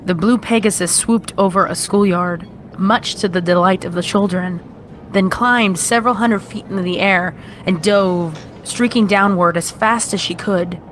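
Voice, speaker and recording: A woman's voice: mid-range voice, moderate pace, slight background noise, rough recording. A woman in her twenties narrating a scripted story as a reader of fan fiction. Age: 20s. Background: background music.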